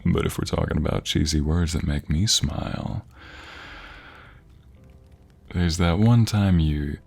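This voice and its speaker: A man playing an affectionate, playful husband in an intimate ASMR roleplay, speaking tenderly and teasingly with breathy, whispery softness. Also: low-pitched, full character voice. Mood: playful, amused. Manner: teasing, tender, chuckling, with drawn-out soft phrases. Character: affectionate, playful young husband sweet-talking his wife.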